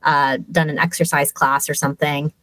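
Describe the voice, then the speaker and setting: A woman's voice: deep voice, level tone, moderate pace, fairly bright tone. A woman who speaks English as her first language, a guest chatting in an interview and describing her family's old business in rich detail, with fillers and small laughs. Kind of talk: casual storytelling in an interview.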